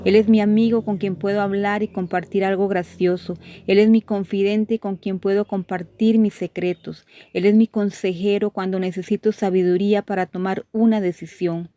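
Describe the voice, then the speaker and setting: A woman reading a devotional course aloud, sharing testimonies in a steady, measured way with gentle emphasis. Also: mid-range voice, no echo.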